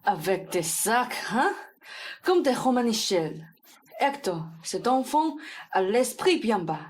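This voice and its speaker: Woman playing a high, swooping pompous old swindler. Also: big pitch swings, slow pace, exaggerated voice. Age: young adult. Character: older male swindler, pompous, theatrical mentor of crooks. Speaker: student actor in a radio play. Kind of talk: theatrical radio-play acting.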